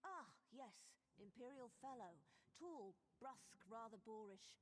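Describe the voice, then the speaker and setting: An actress playing a chatty, indignant townswoman in a fantasy video game, with a gossipy, prim, expressive manner. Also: fairly high pitch, a little slow, no echo.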